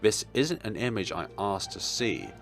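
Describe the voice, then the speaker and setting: A young man with a fairly low voice reads a visual novel aloud. His pitch swings widely in theatrical, expressive narration. Game music plays underneath. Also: unhurried, vocal fry. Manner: theatrical, expressive, with playful asides. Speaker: let's player.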